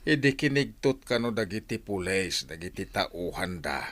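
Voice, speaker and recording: A man's voice: low-pitched, very animated, normal speed, a bit bright, dry recording, full character voice. A man playing a mild middle-aged village husband and father, talking earnestly in an audio drama. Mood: concerned. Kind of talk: radio drama dialogue.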